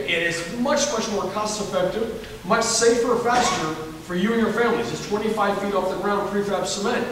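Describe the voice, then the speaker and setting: A man's voice: sits high, fairly bright tone, a little hiss or hum. A man with a Southern US accent, a candidate for governor answering at a forum in an emphatic, folksy, repetitive way. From Alabama. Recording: echoey hall from far off.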